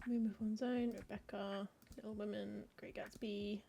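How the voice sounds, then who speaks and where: A woman's voice: medium pitch, level tone, moderate pace, vocal fry, warm tone, slight background noise. A woman chatting casually as she plays a cozy game, muttering through lists of book titles with wry asides. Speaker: streamer playing a cozy game. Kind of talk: casual gameplay commentary, reading game text aloud.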